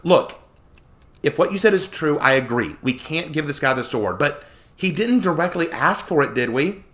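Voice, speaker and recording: A scheming, level-headed storybook heroine voiced by a man, firm and persuasive. The voice sits raised above his own and moves in lively steps. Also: a little high, normal speed, pitched above the performer's own voice.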